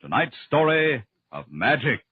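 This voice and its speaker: Male radio announcer, husky, animated, dramatic 1940s delivery, tinny broadcast. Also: mid-range voice, unhurried, dry recording. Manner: dramatic, emphatic. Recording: tinny narrow-band old radio broadcast.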